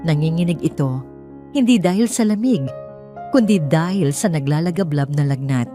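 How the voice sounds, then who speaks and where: Low-voiced woman narrating drama. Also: lively intonation, moderate pace. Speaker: storyteller. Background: soft music.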